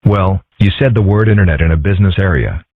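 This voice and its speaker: A man voicing a calm, philosophical young male fox in a comic dub, husky, measured and deadpan. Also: deep voice, no echo. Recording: muffled narrow-band lo-fi audio.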